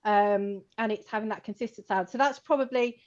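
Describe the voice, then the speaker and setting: A woman co-hosting an informal chat, talking in a chatty, animated way with lots of "like" and "you know" and laughing as she speaks. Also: medium pitch, a little fast, muffled recording. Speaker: co-host, co-owner of a computing education company.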